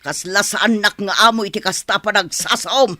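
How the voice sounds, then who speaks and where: A woman's voice: mid-range voice, fairly quick, bright, forceful tone, dry recording, studio quality, soft background music, close to an everyday voice. A woman playing a stern, haughty middle-aged mother and mistress of the house, imperious and scolding, in an audio drama. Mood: indignant, threatening. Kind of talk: Filipino audio drama acting.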